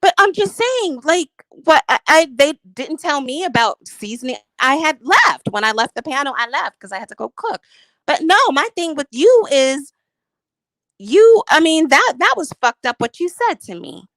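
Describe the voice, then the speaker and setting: A woman's voice: high-pitched, big pitch swings, normal speed, fairly bright tone, no room sound, poor audio. A married woman defending herself in a heated, profane livestream argument, emphatic and defensive. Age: adult, married. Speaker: YouTube commentator.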